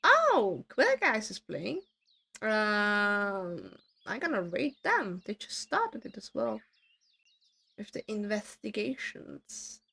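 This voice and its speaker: A woman streamer chatting casually with her viewers, her voice worn hoarse from talking too much, rambling and self-deprecating. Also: mid-range voice, huge pitch swings, slow pace, sharp tone.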